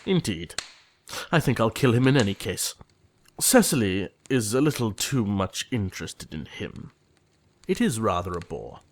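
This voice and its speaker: A man playing an earnest, easily exasperated young gentleman suitor in an audio comedy, formal and theatrical. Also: on the low side, big pitch swings, unhurried, full character voice. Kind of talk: audio play comedy of manners.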